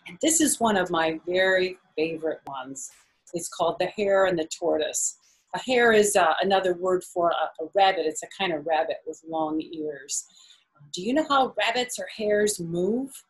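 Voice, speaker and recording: A woman's voice: on the low side, normal speed, rough recording. A middle-aged woman reading and explaining a story to children, using simple explanations and questions for young listeners. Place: indoors, small living room on a sofa.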